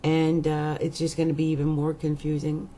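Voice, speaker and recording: A middle-aged woman astrologer with a croaky voice, rambling hesitantly in a casual vlog with many fillers. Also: deep voice, completely flat delivery, normal speed, dark tone.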